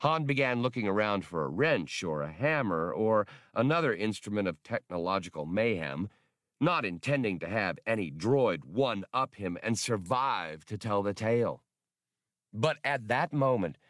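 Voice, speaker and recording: A man narrating an unabridged audiobook in a measured storytelling manner. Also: fairly low pitch, expressive, fairly bright tone, dry recording, studio quality.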